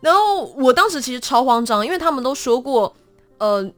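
A woman's voice: high-pitched, a little fast, faint music behind. A woman with a Taiwanese accent chatting casually and expressively as an interview guest. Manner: animated, expressive storytelling.

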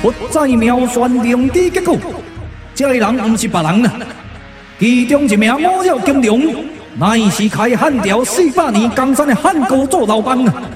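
A man with a Taiwanese accent voicing a boastful, scheming immortal warlord in a theatrical puppet radio drama, declaiming in drawn-out phrases. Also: extremely high pitch, lively intonation. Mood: alarmed, then resigned. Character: menacing immortal warlord villain, boastful, scheming, easily thwarted. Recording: echoey reverb with music behind.

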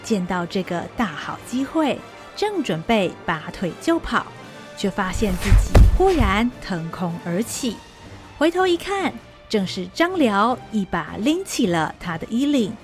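A woman's voice: mid-range voice, very animated, a little slow, faint music behind. A woman narrating a historical radio drama in a vivid, dramatic storytelling style.

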